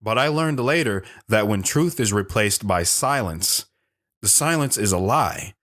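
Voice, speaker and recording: A man's voice: on the low side, animated, a little slow, fairly bright tone. A man playing an earnest, lovestruck lone wanderer recounting his life in a reflective, sentimental audio drama style. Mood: wistful, reflective. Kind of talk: dramatic first-person audio drama narration.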